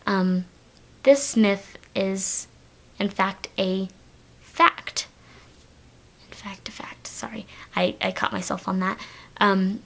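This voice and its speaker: A woman's voice: mid-range voice, gravelly, fairly bright tone. A teenage girl from Texas with a light Texan accent, chatting to camera in a rambling vlog with lots of fillers and restarts. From Texas, United States. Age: teens. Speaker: vlogger. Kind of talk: casual talk to camera.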